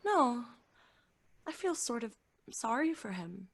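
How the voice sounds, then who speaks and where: A tender-hearted young girl in a radio play. She sounds very high and lively in pitch, earnest in everything she says. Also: takes it slowly, exaggerated voice. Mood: gentle, earnest. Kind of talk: old-time radio drama acting. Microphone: microphone on a video call.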